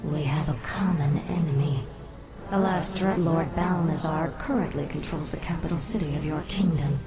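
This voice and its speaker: Woman as a cold, lowish-voiced undead elf queen. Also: moderate pace, natural voice. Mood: cold persuasion. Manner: controlled, imperious. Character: vengeful undead elf queen, female, cold, cunning, commanding. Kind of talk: video game cutscene acting. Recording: game audio under loud music.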